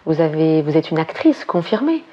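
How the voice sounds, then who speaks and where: A woman's voice: sits low, big pitch swings, moderate pace, slightly rough, muffled recording. A woman interviewing guests, asking long formal questions with frequent "euh" hesitations.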